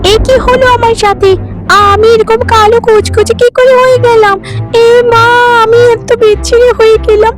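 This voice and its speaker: A woman acts out a vain young cartoon bride with an extremely high voice. She is greedy and spiteful, taunting and gloating in shrill exclamations, over background music. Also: steady tone, moderate pace. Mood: shocked, wailing. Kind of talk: animated folk-tale dialogue.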